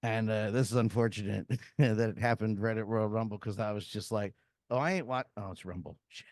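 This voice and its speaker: Profane, ranting middle-aged podcast host. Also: male voice, fairly low pitch, steady tone, a little fast, a little mellow, no echo, clean studio sound. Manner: profane, ranting, laughs often. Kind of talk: casual wrestling podcast chat.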